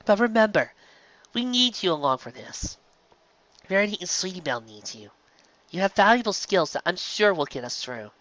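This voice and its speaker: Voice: mid-range voice, big pitch swings, moderate pace, fairly bright tone, dull audio, voice pushed up. A woman voicing an earnest, bookish, bossy young female pony in a cartoon fan story, explaining things precisely. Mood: reassuring.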